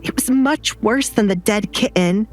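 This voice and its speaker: A woman's voice: fairly high pitch, unhurried. Woman narrating a dramatic story over music.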